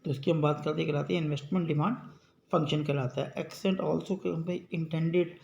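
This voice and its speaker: A male teacher giving an economics lesson, reading English definitions slowly and explaining them quickly. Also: a little high, fairly even intonation, vocal fry, darkish tone. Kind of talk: classroom economics lecture. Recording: echoey room with faint ambient noise.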